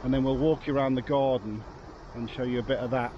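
A man's voice: mid-range voice, steady tone, fast, muffled recording, background noise. A man in his fifties from England, chatting casually and jokily to a handheld camera while walking around outdoors. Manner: chatty, jokey, rambling with restarts. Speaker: vlogger. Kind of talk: casual travel vlog chat. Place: outdoors in town streets and a garden. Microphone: camera held at arm's length.

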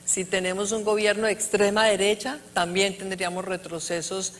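A middle-aged woman speaking earnestly on a conference panel, with pauses between phrases, into a handheld mic on stage. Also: mid-range voice, little pitch movement, slight background noise. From Colombia. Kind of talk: panel discussion answer. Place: large conference hall stage.

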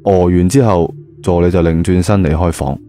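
A man with a Cantonese accent narrating an audio drama in a lively, colloquial storytelling style. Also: deep voice, moderate pace. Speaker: audio drama narrator, also voicing the male lead. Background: background music.

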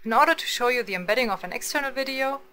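Woman doing a software tutorial voiceover, really bright clear-cut tone, bit of background noise. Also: fairly high pitch, expressive, racing. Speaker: tutorial narrator. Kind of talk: scripted screencast narration.

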